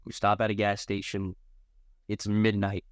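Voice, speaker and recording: Casual male podcast host, slightly low voice. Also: completely flat delivery, a little slow, clear, resonant tone, no room sound, studio quality. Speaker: podcast host interviewing athletes. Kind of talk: casual interview chat.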